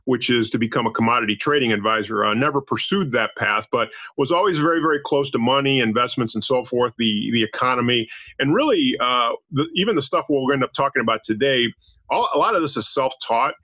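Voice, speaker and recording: A man from Panama whose first language is Spanish, a podcast guest explaining his background in business consulting in a measured way with frequent repeated words. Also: medium pitch, no room sound. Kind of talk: conversational interview answers. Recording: tinny phone-line call, dry.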